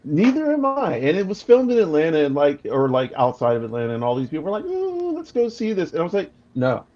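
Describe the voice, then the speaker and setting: A person with a deep voice chatting casually and laughing often while playing a party game on a livestream. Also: male voice, huge pitch swings, fast, mellow. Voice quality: voice on the deep side. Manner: chatty, laughs often, acts out quotes in a higher voice. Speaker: co-host. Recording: dull lo-fi livestream audio.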